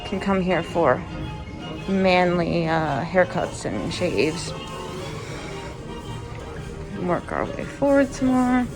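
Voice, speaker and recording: A woman's voice: lowish voice, sing-song, takes it slowly, over music. A woman walking through a cruise ship, filming and chatting as she points out each spot. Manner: chatty guide pointing things out. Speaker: vlogger giving a ship tour. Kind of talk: walking tour narration.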